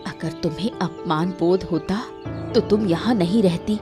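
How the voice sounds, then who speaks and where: A woman's voice: fairly low pitch, moderate pace, over music, natural voice. A woman voicing a stern, reproachful woman of the household in a cartoon moral story, scolding firmly.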